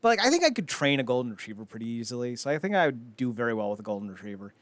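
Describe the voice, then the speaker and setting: A man's voice: medium pitch, very animated, very fast, dry recording. A man co-hosting a casual pop-culture podcast, rambling and swearing freely as he chats. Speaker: podcast co-host.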